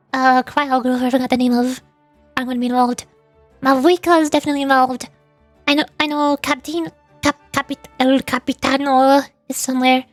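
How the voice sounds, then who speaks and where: Female streamer, high-pitched and playful, chatting casually over faint music. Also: dry recording. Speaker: streamer voice acting game dialogue. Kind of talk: casual livestream chat. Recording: music behind.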